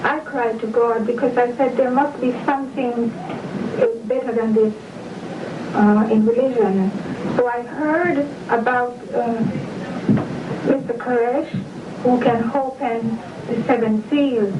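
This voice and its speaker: A woman from Jamaica, earnestly telling why she joined a religious group. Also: fairly high pitch. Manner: earnest, measured, convinced. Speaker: interviewee, church member. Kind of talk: earnest testimony on video. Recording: dull, echoey room from far off, noisy.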